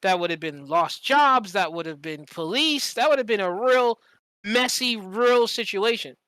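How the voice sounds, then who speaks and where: Podcast host, mid-pitched and crisp, slangy and sweary storyteller, animated, performs quoted dialogue, dry close sound. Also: unhurried, no room sound. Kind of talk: casual storytelling in conversation.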